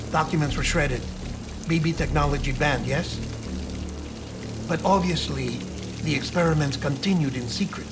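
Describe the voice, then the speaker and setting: An actor playing a brisk, secretive middle-aged surgeon and scientist in a cinematic video game, explaining things in a smooth, confiding way. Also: male voice, fairly high pitch, lightly acted. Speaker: video game character, doctor. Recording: loud music and rain behind.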